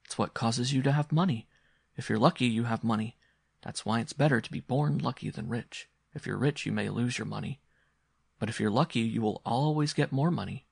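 Audiobook mother, read by a man: disappointed, bitter, measured and dismissive with her child, close dry sound. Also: medium pitch, vocal fry, husky, no echo. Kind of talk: literary audiobook character voice.

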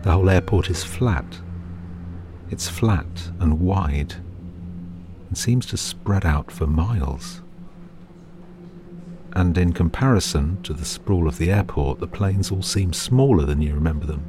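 A British man reads a second-person audio drama as narrator, in a measured, understated and uneasy storytelling manner. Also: low voice, animated, noisy background. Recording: music bed behind the voice. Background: music.

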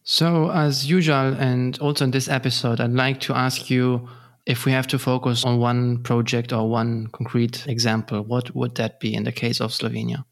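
A man's voice: medium pitch, little pitch movement, moderate pace. A man hosting a podcast interview, putting questions to his guest and presenting the show.

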